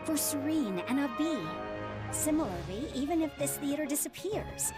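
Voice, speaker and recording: An actress voicing a calm, kind widowed mother in a video game, speaking in a measured, gentle, reassuring way. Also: high-pitched, loud music behind the voice.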